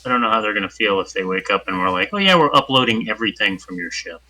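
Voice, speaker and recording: Male player, very sharp bright tone, polite and careful questions. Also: lowish voice, animated, fast talker, poor audio. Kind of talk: casual tabletop game chat.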